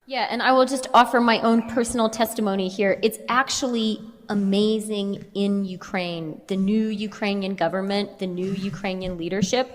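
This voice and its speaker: A woman speaking at a panel, earnestly giving personal testimony. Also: medium pitch. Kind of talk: public panel remarks. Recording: echoey hall recording.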